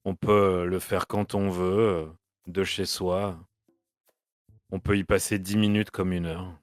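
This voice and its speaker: A refined, courteous man who prizes his speaking voice, played by a man at a game table. He speaks slowly and carefully in a lowish voice, each phrase measured, with soft music under it. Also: level tone, no room sound. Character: polite refined male voice professional.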